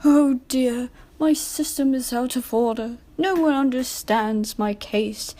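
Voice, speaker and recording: A woman's voice: high voice, takes it slowly, dark tone. Storybook engine, male female reader: whiny, moaning, sorry for himself. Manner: whiny, complaining. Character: self-pitying, moaning male steam engine.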